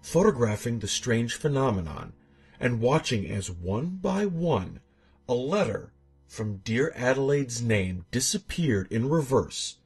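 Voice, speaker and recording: Male horror narrator, strongly raspy with an animated range, theatrical and suspenseful storytelling. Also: medium pitch, a little slow, soft background music. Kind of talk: scripted dramatic narration of a horror tale.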